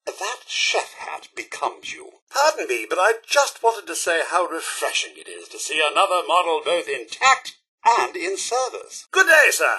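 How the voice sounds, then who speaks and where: An actor playing a prim, fussy, snobbish robot butler in a video game, with a British accent and a formal, pompous, theatrically polite manner. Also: male voice, a little high, sing-song, a little slow, bright, forceful tone, slightly rough, heavily acted, low-quality recording. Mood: chatty, proper. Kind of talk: scripted game companion dialogue.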